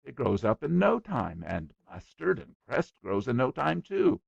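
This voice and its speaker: A man's voice: mid-range voice, big pitch swings, measured pace, vocal fry, breathy edge, no echo, muffled recording, pitched above the performer's own voice, full character voice. A man voicing a stubborn, boastful small boy who chatters eagerly. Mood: eager boasting.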